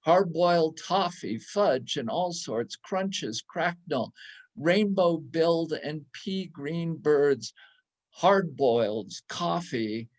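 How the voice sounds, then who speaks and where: A man giving a dramatic storybook reading with measured, deliberate and expressive phrasing. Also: a little high. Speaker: reader in a readers theater.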